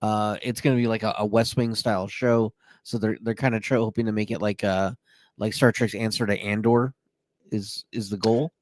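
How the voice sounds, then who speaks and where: A man hosting a podcast, relaxed and a bit jokey, running through news with many fillers. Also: fairly low pitch, moderate pace, no room sound. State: a little woozy. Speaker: podcast host. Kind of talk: casual chat, reading news.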